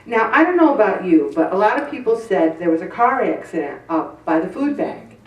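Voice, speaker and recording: A woman's voice: medium pitch, very animated, fairly quick, muffled recording. A middle-aged woman giving a candid, self-deprecating faith-based talk to a group, acting out her own inner voices. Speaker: group speaker. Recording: roomy echo from some distance.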